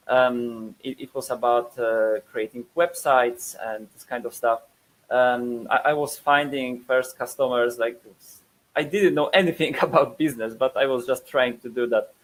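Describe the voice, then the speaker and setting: A man's voice: mid-range voice, low-quality recording. A man in his thirties from Poland, speaking with a Polish accent, presents a webinar with many fillers and repeated words.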